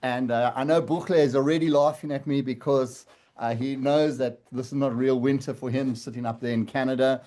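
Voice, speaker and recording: A man's voice: mid-range voice, steady tone, fairly quick, mellow. Jovial man. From South Africa. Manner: jovial, self-deprecating. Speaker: panelist. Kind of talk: webinar introduction. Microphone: video call.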